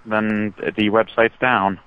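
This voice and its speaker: A man's voice: on the low side, level tone, unhurried, clear, resonant tone. A man working as a customer support agent, patiently and hesitantly explaining over the phone. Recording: narrow, tinny phone line.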